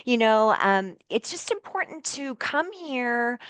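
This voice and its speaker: A woman's voice: a little high, big pitch swings, slow pace, fairly bright tone, smooth, no echo. A woman therapist from the United States talking casually in an interview, rambling with many fillers and restarts. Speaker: licensed therapist guest.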